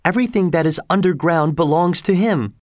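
A man's voice: on the high side, brisk, no echo, studio quality, pitched above the performer's own voice, close to an everyday voice. A man voicing a frightened, earnest young woman opera singer in a dramatic storybook reading. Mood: fearful.

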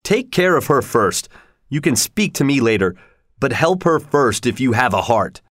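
A man's voice: mid-range voice, big pitch swings, moderate pace, fairly bright tone, no echo, clean studio sound. A man voicing a tormented, passionate male lover from a storybook, brooding and vengeful, with an intense, anguished delivery. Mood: urgent.

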